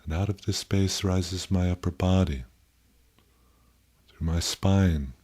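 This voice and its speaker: Low, mellow male meditation guide. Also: fairly even intonation. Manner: gentle, contemplative, pausing, self-questioning.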